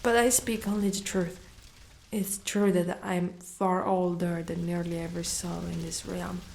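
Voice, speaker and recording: A woman voicing a proud, fiercely protective female dragon knight in an ASMR roleplay, speaking deliberately and often breathy or whispered. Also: on the low side, little pitch movement, a little mellow, slight background noise, poor audio. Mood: weary, remorseful. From Italy.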